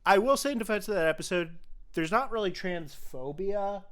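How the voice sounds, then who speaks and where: Higher-pitched, clear-voiced man chatting about films. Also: animated, normal speed, a bit bright. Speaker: podcast host. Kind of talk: casual film discussion.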